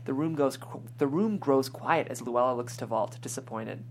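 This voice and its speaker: A woman's voice: very deep voice, normal speed, darkish tone, a little hiss or hum. A woman reading scripted stage directions as the narrator at a table read.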